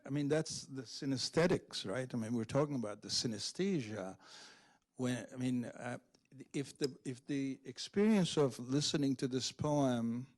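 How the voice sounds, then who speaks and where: A man's voice: mid-range voice, a little mellow. Man thinking aloud in a public discussion. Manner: hesitant, searching, often says "in fact" and "right". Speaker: speaker discussing a poetry translation. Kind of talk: public discussion after a reading. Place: public event room at a library.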